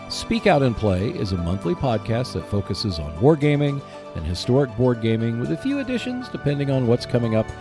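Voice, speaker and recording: A man hosting a solo podcast, delivering prepared announcements and commentary. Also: mid-range voice. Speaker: podcast host.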